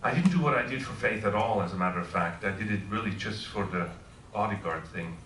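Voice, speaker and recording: Bright-voiced Swiss professor in an echoey hall. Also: male voice, on the low side, a little fast, far from the mic, slight background noise. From Switzerland. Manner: informal, asks the audience questions. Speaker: guest speaker. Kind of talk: public talk with audience questions. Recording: echoey room, voice heard from afar.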